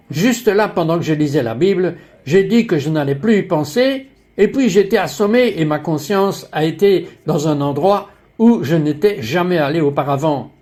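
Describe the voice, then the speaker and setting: A man with a slightly high voice reads a dubbed religious interview. He delivers questions and personal testimony as a voice-over. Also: a little mellow.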